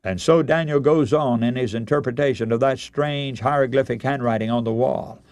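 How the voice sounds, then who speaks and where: A man preaching a radio sermon, telling a Bible story in solemn, deliberate oratory with dramatic emphasis. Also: medium pitch, a little mellow, dry recording.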